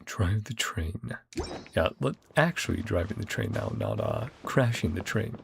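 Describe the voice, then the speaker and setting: A man's voice: low voice, wildly swooping pitch, measured pace, gravelly, noisy background. A man giving a casual, rambling game playthrough commentary, musing as he goes and easily amused. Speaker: gaming content creator. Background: game music.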